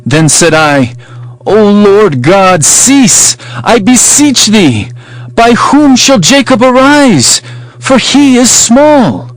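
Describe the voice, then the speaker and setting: A man's voice: sits high, very animated, takes it slowly, full character voice, rough recording. A man playing an earnest, humble herdsman prophet, speaking solemnly and declaiming in a dramatized scripture reading. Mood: pleading.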